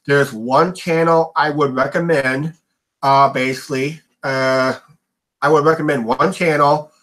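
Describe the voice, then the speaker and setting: Middle-aged male host, bright, rambling livestream chatter full of fillers. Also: mid-range voice, rough recording. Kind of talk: casual livestream chat.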